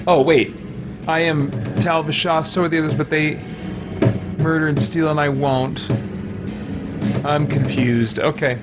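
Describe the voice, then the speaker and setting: A man's voice: a little high, fairly even intonation, measured pace, long pauses. A man doing casual live gameplay commentary as a streamer, thinking aloud in a rambling, hesitant way. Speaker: game streamer and host. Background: game music.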